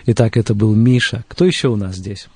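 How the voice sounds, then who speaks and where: Mellow-voiced man hosting a radio interview. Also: fairly low pitch, a little fast, slightly rough. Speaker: radio host. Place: radio studio.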